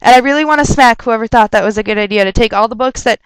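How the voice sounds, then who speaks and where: A young woman rambling casually on a webcam vlog, chatty and quick to laugh and rant, her nose stuffed up from a cold. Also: a little high, smooth, dry recording, low-quality recording. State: stuffed up with a sore throat. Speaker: vlogger answering viewer questions.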